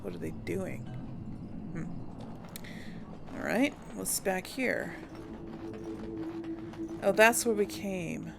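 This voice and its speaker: A woman with a creaky voice giving casual, easygoing game commentary, musing to herself as she plays. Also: mid-range voice, very slow, long pauses, music in the background. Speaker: let's play host. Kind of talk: casual game commentary with light role play. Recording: faint background game ambience.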